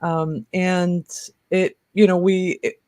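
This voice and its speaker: A woman around fifty, raised in Michigan, talks as an interview guest in a casual, halting storytelling way. Her speech is peppered with small filler phrases, and the sound is close and dry, without room echo. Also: fairly low pitch, flat delivery, dark tone. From born in Lebanon, raised in Michigan. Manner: hesitant, peppered with "you know" and "like".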